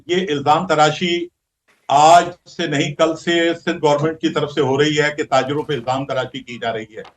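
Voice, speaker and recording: A man, a traders' association leader speaking as a guest on a news show, aggrieved, defensive and accusing. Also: mid-range voice.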